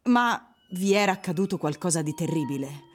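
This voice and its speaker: A woman's voice: fairly low pitch, lively intonation, normal speed, slightly rough, soft background music. A woman performing descriptive, dramatised literary narration for a radio play. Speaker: actress narrator.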